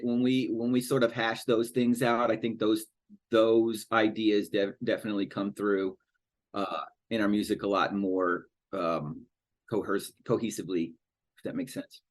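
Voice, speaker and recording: A man's voice: lowish voice, fairly even intonation, unhurried. A man who plays in a band, rambling and searching for his words in a casual podcast chat. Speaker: musician in a band.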